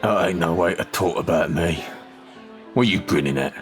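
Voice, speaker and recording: An actor playing a surly, menacing criminal in an audio drama, gruff and curt, with a working-class English accent. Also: male voice, low-pitched, moderate pace, soft background music, natural voice. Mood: gruff, irritable.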